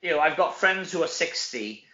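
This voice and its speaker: Male, middle-aged, Welsh. Chatty, informal interview guest with a forward, bright tone; roomy, lo-fi sound. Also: a little high, lively intonation, normal speed. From Wales. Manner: chatty, informal, frequent tag questions. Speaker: guest, actor. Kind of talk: casual interview chat.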